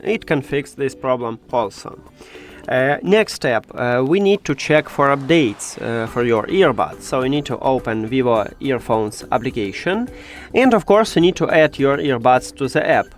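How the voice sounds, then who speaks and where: A man giving a step-by-step tech tutorial, with hesitant, halting phrasing. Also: mid-range voice.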